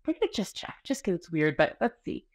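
Woman, forties, slightly low pitch, animated storyteller, webcam from a home room. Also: brisk. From Canada. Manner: expressive, storytelling, gestures a lot. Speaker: author guest. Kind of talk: podcast interview conversation. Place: small home room with framed art. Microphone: video call webcam.

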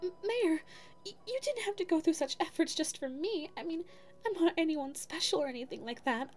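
A woman's voice: extremely high pitch, lively intonation, a little fast, a little mellow. A woman voicing a sweet, flustered, lovestruck young female dog secretary in an ASMR audio roleplay, stammering and self-effacing. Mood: flustered, astonished. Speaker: voice actor.

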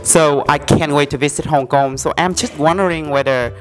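A man's voice: on the high side, normal speed, fairly bright tone, faint music behind. A young man with a Vietnamese accent, a native Vietnamese speaker, hosting a casual interview. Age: young adult. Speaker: host, English learning presenter. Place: indoor café-like open room. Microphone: handheld lavalier mic.

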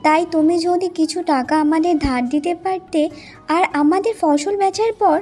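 A woman as a high-voiced, humble village girl. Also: normal speed, warm tone. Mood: pleading. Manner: pleading, polite, earnest. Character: poor, humble, kind-hearted young village woman. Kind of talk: Bengali cartoon fairy-tale voice acting. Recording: music behind the voice.

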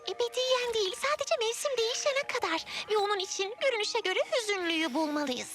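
A woman's voice: extremely high pitch, expressive, brisk, fairly bright tone, faint music behind. A woman voicing a cheerful, helpful young girl heroine in a dubbed children's cartoon. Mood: upbeat, persuasive.

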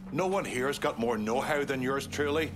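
A man's voice: mid-range voice, moderate pace, background noise, lightly acted. A man playing a brash, foul-mouthed middle-aged mobster in a video game, pushy and belligerent. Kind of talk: cinematic video game acting.

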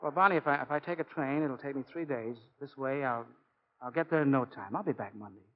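An actor playing a genial, restless middle-aged coach and devoted husband in a brisk, affable 1940s radio drama style. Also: male voice, medium pitch, lightly acted. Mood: apologetic. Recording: dull old 1940 radio recording.

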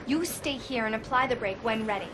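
An actress playing an earnest, plucky young rookie rescue officer in a video game. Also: female voice, fairly high pitch, fairly quick, noisy background, natural voice. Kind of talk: video game cutscene acting.

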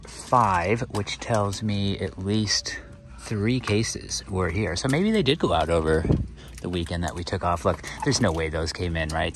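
A man's voice: low voice, slight background noise. An American man chatting casually to his viewers as a collecting vlogger, in a laid-back, slangy, rambling way. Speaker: toy car collecting vlogger.

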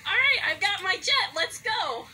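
A woman's voice: very high voice, very animated, moderate pace, a little hiss or hum, heavily acted, poor audio. A woman voicing an eager young male fox sidekick in a comedy fan dub, goofy. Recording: fan dub with loud music behind.

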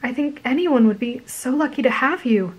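Woman reading a soft sincere young woman, bit hesitant. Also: sits high, lively intonation, moderate pace, breathy edge, pitched above the performer's own voice, poor audio. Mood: tender. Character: soft-spoken young woman, the reader's self.

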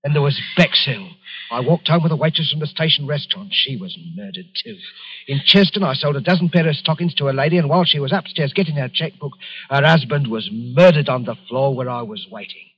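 A man's voice: fairly high pitch, a little fast, close to an everyday voice. An actor playing a meek, troubled travelling salesman accused of murder in an old radio drama, hesitant, polite and brooding. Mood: brooding, menacing. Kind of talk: 1940s radio drama acting. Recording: muffled old radio recording.

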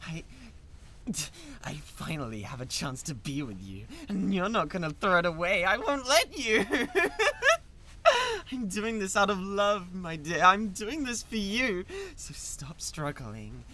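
A man's voice: high-pitched, very animated, a little slow, sharp tone, exaggerated voice. A British man playing an obsessive young yandere kidnapper in a dramatic ASMR roleplay, possessive and menacing. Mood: frantic, desperate, then pleading, possessive. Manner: possessive, menacing, swinging from tender coaxing to frenzy.

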